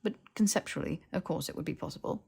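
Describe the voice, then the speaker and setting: A woman's voice: low-pitched, quick pace, vocal fry, a little mellow, breathy edge, heavily acted. A woman voicing a sensible, bookish young witch with a precise, scolding, exasperated manner in an audiobook. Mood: analytical. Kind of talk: fiction audiobook character voice.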